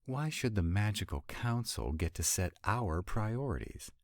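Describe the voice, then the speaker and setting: A man's voice: on the low side, big pitch swings, measured pace, darkish tone, dry recording, clean studio sound. A man playing an irritable, sarcastic vampire with a rebellious streak in an intimate, conversational roleplay audio drama. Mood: irritated, sarcastic. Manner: sarcastic, conversational, drawling asides. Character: sarcastic male vampire, irritable, wry, rebellious council member. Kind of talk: intimate one-sided roleplay audio acting.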